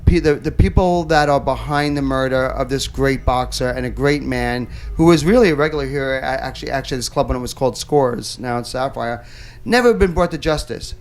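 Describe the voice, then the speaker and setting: A man's voice: medium pitch, brisk. A man hosting an interview show, blunt and profane, stumbling over repeated words as he talks. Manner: stammering restarts, blunt and profane. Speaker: talk show host. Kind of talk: casual interview chat. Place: nightclub.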